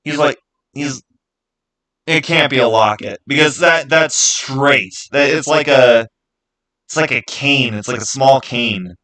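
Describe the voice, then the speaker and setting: Halting man chatting on a livestream. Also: mid-range voice, pushed and bright. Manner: halting, repeats words and phrases. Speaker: livestream host. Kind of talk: casual livestream chat with viewers.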